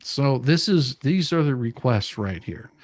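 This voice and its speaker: A man's voice: medium pitch, lively intonation, measured pace, a little mellow, dry recording. Man explaining and reading documents, blunt, dry sound. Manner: blunt, mildly profane, explanatory. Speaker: online show host. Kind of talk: casual live commentary, reading documents aloud.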